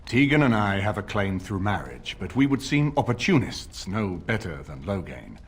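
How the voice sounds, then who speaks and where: An actor playing a grave, middle-aged nobleman in a fantasy game, speaking formally and with measured authority. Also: fairly low pitch, big pitch swings, slightly rough, full character voice. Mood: grave, resolute. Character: grave older nobleman lord rallying allies against a usurper. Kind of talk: video game voice acting, dramatic dialogue.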